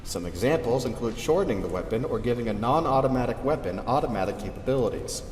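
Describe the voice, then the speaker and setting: A man playing a dry, deadpan sci-fi official, formally reading out weapons regulations in a scripted announcement for an audio drama. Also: medium pitch, quick pace, lightly acted. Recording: echoey reverberant hall, faint noise.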